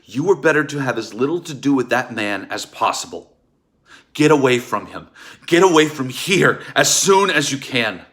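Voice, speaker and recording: An actor playing a bitter, wronged husband in a theatrical table read, speaking in an accusatory, ominous and emphatic way. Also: male voice, mid-range voice, lively intonation, moderate pace, fairly bright tone, lightly acted. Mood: urgent warning. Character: bitter, wronged husband warning about a sinister doctor.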